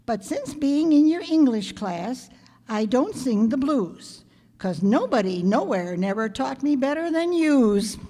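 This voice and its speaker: A woman's voice: sits high, big pitch swings, unhurried, warm tone, exaggerated voice. A woman playing a wry, wisecracking schoolteacher with dry, sardonic delivery in old-time radio comedy style. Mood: dry amusement. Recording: reverberant hall stage recording.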